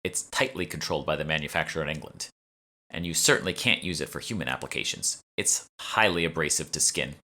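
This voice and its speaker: A man's voice: very deep voice, fairly quick, sharp tone, exaggerated voice. A man voicing a polite, earnest woman curator who explains things courteously in an audiobook. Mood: tactful. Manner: courteous, informative, eager to please. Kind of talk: audiobook character dialogue.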